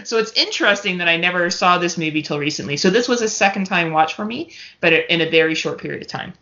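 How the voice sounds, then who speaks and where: A woman's voice: lowish voice, lively intonation, quick pace, pushed and bright. A woman chatting casually and candidly as a podcast guest, swearing freely. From Canada. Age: 30s or older. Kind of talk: casual chat. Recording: dull, lo-fi podcast audio.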